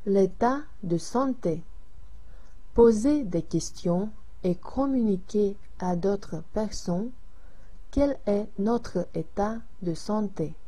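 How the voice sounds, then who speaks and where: A woman narrating a language lesson, reading instructions and exercises slowly and evenly. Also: medium pitch, warm tone, breathy edge.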